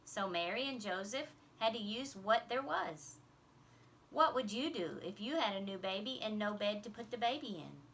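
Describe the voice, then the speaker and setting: Woman playing a patient, bright-voiced mother. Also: medium pitch, animated, normal speed. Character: patient, explaining mother running a church pageant. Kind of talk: children's novel read aloud.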